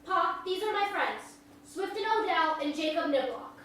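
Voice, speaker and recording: A woman's voice: very high voice, expressive, normal speed, a bit bright. A woman playing an earnest, fierce young country girl in a staged drama, with polite rustic speech. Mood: fierce, pleading. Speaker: actor in a staged reading. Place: large echoey room at a live reading. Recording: echoey hall, distant mic, faint noise.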